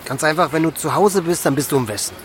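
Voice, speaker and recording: An actor playing a frank German expatriate manager, talking informally and a little haltingly in a radio drama. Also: male voice, a little high, a crowd in the background, close to an everyday voice. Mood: casual, matter-of-fact. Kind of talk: conversational documentary radio drama.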